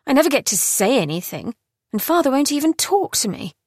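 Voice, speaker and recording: A sulky, petulant young princess, voiced by a woman narrator in a storybook reading, glum and complaining. Her voice sits higher than the narration, recorded dry and close. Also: sing-song, fairly quick, a little mellow, dry recording.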